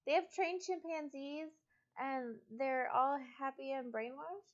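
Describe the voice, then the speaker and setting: Wry woman co-host, higher voice, slightly muffled. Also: a little slow. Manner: wry, teasing. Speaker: co-host relaying viewer comments. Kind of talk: casual livestream banter.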